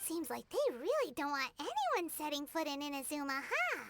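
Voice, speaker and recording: A woman voicing a small childlike fairy companion in a video game, squeaky and inquisitive, speaking in eager exclamations and questions. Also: sing-song, normal speed, a little hiss or hum, exaggerated voice. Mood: concerned. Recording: loud game music underneath. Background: game music.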